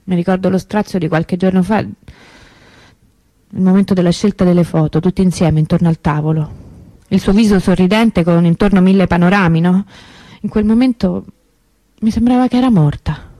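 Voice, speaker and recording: A woman's voice: fairly low pitch, dark tone, dry recording, lightly acted, poor audio. An actress playing an anguished young woman remembering her missing sister in an intimate, hesitant radio monologue. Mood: grieving, nostalgic. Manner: confiding, hesitant, conversational with pauses and repetitions.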